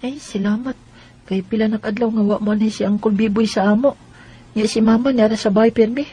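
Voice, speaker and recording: Woman as a high-voiced, plaintive Bisaya child. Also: steady tone, brisk, mellow, natural voice. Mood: confiding. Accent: Bisaya Filipino. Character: naive, worried child confiding family secrets. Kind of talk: Bisaya radio drama acting.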